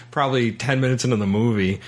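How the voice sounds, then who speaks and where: A man hosting a podcast, talking through a film in a casual, rambling, halting way. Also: mid-range voice, a little hiss or hum. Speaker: podcast host.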